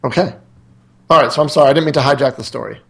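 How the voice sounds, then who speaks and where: Gravelly-voiced man hosting a chat. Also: medium pitch, racing, fairly bright tone. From central Connecticut, USA. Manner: friendly, joking, asks follow-up questions. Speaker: podcast co-host. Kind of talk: casual interview chat.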